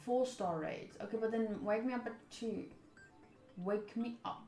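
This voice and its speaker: A woman's voice: fairly high pitch, measured pace, dark tone. A woman streaming a visual novel, reading the text aloud and adding casual asides to viewers. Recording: roomy echo, faint game music behind. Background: faint game music.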